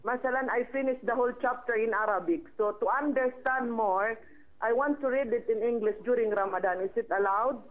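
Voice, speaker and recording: A woman from the Philippines calling into a TV show to ask a question, speaking politely and haltingly with Arabic phrases mixed in. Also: on the high side. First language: Filipino language. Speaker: caller. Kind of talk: phone-in question. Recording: tinny phone line.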